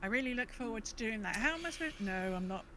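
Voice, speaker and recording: A woman's voice: on the high side, expressive, fast, a bit bright, a little hiss or hum. A woman co-hosting a casual gaming podcast, griping in a wry, exasperated and sweary way. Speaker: podcast co-host.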